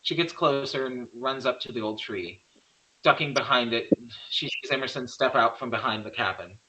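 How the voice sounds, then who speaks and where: A man's voice: medium pitch, normal speed, bright, forceful tone, low-quality recording. A man reading the stage directions of a horror screenplay in plain scripted narration.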